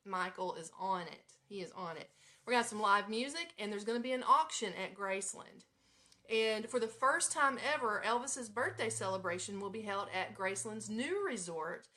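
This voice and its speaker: Bright-voiced Southern US woman hosting chattily. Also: medium pitch. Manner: playful, folksy, expressive. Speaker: radio show host. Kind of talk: chatty live-stream hosting to listeners. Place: at home in an apartment.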